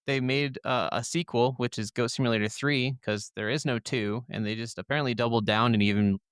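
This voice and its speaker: A man with a clear, smooth voice of medium pitch co-hosts a casual gaming podcast. He talks informally and agreeably. The recording is dry and free of room sound. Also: fairly even intonation, fairly quick, fairly bright tone, dry recording. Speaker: podcast co-host.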